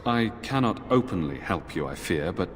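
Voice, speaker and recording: Deep, echoing stern knight commander. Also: male voice, animated, slight background noise. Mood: grave, cautious. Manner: formal, measured, grave. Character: stern, dutiful templar knight commander, wary but kindly. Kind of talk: fantasy video game voice acting. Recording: echoing hall, music behind.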